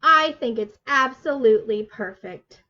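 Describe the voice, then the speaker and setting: A woman's voice: on the high side, expressive, a little slow, bright, forceful tone. Woman as a gracious music teacher, lo-fi sound. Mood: composed, reassuring. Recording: dull lo-fi livestream audio.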